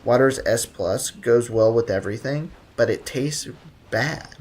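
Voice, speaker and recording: A man's voice: medium pitch, measured pace, darkish tone, slight background noise. A man live streaming a video game, chatting casually with viewers in a slangy, joking, rambling way.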